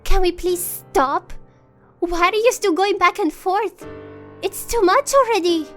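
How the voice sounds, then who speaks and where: A woman playing a gentle, childlike girl who hates fighting, speaking in a pleading, childish way in an audio drama. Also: very high voice, unhurried, faint music behind.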